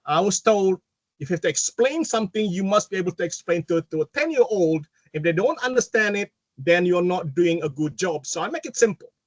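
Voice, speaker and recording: A man's voice: high voice, fairly quick, dry recording. A man from Malaysia with a mixed Malaysian and British accent, giving an enthusiastic webinar talk over a video call, often stopping and restarting his sentences. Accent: Malaysian-British mix. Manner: animated, enthusiastic, self-interrupting. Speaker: guest speaker. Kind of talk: webinar presentation.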